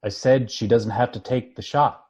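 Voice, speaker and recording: A man's voice: medium pitch, fairly even intonation, moderate pace, darkish tone, slightly rough. A man reading the part of a brooding, protective, hard-drinking former doctor in his late thirties at a screenplay table read. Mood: firm, protective. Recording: dull lo-fi video call audio.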